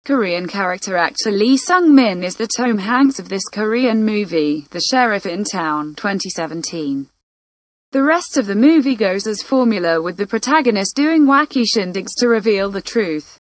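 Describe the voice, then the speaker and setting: A woman narrating a scripted film review in an even, read-out delivery. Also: medium pitch, moderate pace, dry recording.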